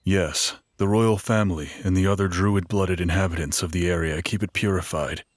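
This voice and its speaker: Man acting a deep-voiced wandering minstrel guide. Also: fairly even intonation, no echo, clean studio sound, natural voice. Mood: informative. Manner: measured, explanatory. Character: knowledgeable, wary male wandering minstrel guide. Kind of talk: fantasy audio drama acting.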